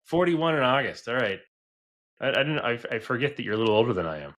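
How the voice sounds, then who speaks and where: A man around forty with a middle-pitched, bright and forceful voice. He hosts a business podcast conversationally, stressing his points earnestly and often tagging them with a quick check for agreement. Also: very animated. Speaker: podcast host, business advisor.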